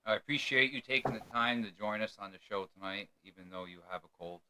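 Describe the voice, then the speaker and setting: Bright-voiced podcast host man. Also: lowish voice, quick pace, rough recording. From New York. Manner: stumbling, repeats words while asking questions. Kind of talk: hosting a casual podcast interview.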